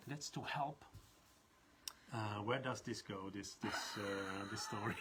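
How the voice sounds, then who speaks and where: A man's voice: fairly low pitch, takes it slowly, fairly bright tone, slight background noise. A man from the Netherlands with a Dutch accent, a leadership trainer talking in a loose, rambling way with many restarts. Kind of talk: casual live talk.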